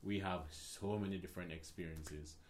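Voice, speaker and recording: Deep-voiced Jamaican man, thoughtful. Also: fairly even intonation, normal speed, a little mellow, slight background noise. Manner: thoughtful, hesitant, many "like" fillers. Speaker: video co-host. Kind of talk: casual chat.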